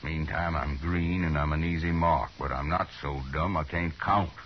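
A hissy, muffled old radio Western recording carries a stubborn young-to-the-trade rancher from Alabama. His voice is extremely low, and he talks bluntly and broodingly, with halting proud phrases. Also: fairly even intonation. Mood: bitter, determined. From American. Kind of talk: 1950s radio Western acting.

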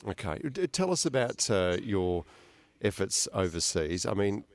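A man's voice: lowish voice, sing-song, a little slow. A man hosting an interview, asking questions in a conversational way with frequent restarts and fillers. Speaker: radio interview host.

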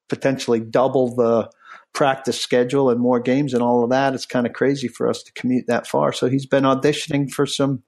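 A middle-aged man hosts a casual podcast chat, blunt and rambling, often restarting his sentences. His voice sits at a middling pitch for a man. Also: a little mellow. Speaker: podcast host.

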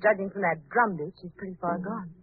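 An actress playing a sleuth's sharp, wry and questioning female companion in an old-time radio drama. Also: mid-range voice, fast, lightly acted. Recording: tinny old radio recording with music.

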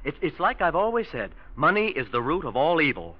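An actor playing a scheming young nephew, a glib liar who stammers when cornered, in old-time radio drama style. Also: male voice, fairly high pitch, very animated, fairly quick. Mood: agitated. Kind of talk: 1940s-50s radio drama acting. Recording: dull vintage radio recording.

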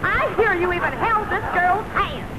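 A scornful fiancée scolds in a very high voice, flinging theatrical insults in vintage radio-comedy style. An audience sits behind her on a noisy old recording. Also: lively intonation, normal speed, crowd noise. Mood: scornful, scolding. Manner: sharp-tongued, scolding. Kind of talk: 1940s radio comedy acting.

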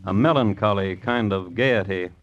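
A man hosting and narrating an old radio crime drama in a deliberate, dry, wry manner. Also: on the low side, noisy background. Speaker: host and narrator. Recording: dull old radio recording.

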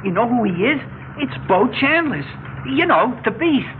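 A jittery sixteen-year-old tagalong, high-pitched and pleading, from a 1960s radio play. The old recording is muffled, with faint noise. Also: male voice, sing-song, moderate pace. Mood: anxious. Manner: anxious, pleading. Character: nervous sixteen-year-old boy tagging along with gang. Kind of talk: 1960s radio drama acting.

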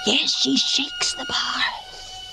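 Nervous unraveling wife, dramatically animated. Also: female voice, very high-pitched, drawn-out words, exaggerated voice, low-quality recording. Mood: secretive, uneasy. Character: nervous young wife unraveling into secretive, obsessive madness. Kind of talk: old-time radio drama acting, intimate narration. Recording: vintage radio broadcast recording. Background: dramatic music.

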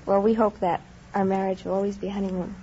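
A woman's voice: medium pitch, level tone, fast talker, mellow. Young New woman, muffled radio recording. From New York City, United States. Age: 20s. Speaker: quiz show contestant. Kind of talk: answering interview questions. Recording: dull old radio recording, faint noise.

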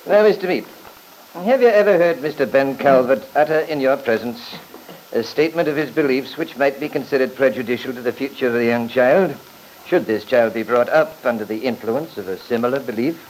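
An actor playing a shrewd, courtly lawyer who questions in a measured, formal, probing way, in old-time radio drama style. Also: male voice, mid-range voice, darkish tone, natural voice. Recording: noisy vintage radio recording.

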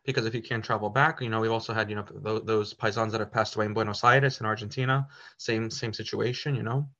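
Guy talking casually, bright punchy voice, lots of you knows, kinda muffled sound. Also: lowish voice, fairly even intonation, fast talker. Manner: rambling, lots of "you know" and restarts. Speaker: Italian teacher and parish community member. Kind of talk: casual podcast conversation.

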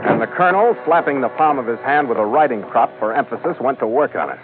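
A man's voice: mid-range voice, expressive, fast talker, close to an everyday voice. An American man playing a weary, sardonic ship master in a 1940s radio adventure, with dry, clipped, hard-boiled delivery. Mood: tense. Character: weary, sardonic male ship master narrating his voyage log. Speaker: narrator and lead actor. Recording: muffled vintage radio broadcast, loud music.

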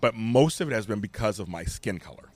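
An older man from Los Angeles with a deep voice, a guest talking candidly and emphatically, often repeating himself. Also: expressive, fairly quick, a little mellow. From Los Angeles, USA. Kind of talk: candid conversation.

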